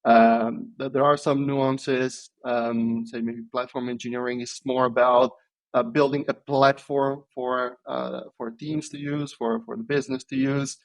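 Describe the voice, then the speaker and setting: Guy in his 30s on a podcast, lots of ums, thinks out loud, mid pitch. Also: level tone, measured pace, poor audio. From Italy. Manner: thoughtful, hesitant, many ums and restarts. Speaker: podcast guest, software engineer. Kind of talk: casual interview answers.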